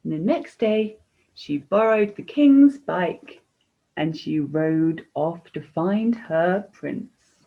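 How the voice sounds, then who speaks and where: A woman's voice: fairly low pitch, animated, slow. A woman storyteller reading a children's story expressively, in a slightly dull, lo-fi recording.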